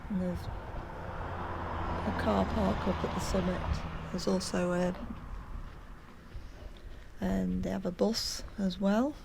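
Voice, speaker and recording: A woman's voice: mid-range voice, level tone, slow, creaky, long pauses, noisy background. A woman narrating a casual walking tour, chatty and hesitant with plenty of ums, at times out of breath from climbing. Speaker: walking vlogger. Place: outdoors on a hillside.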